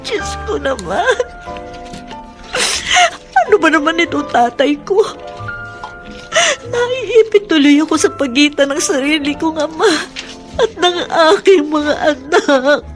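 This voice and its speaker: A woman's voice: high voice, expressive, slow pace, pauses often, faint music behind. A woman playing a patient but anguished middle-aged Filipino mother in a radio drama, emotive and pleading. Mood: distressed, pleading.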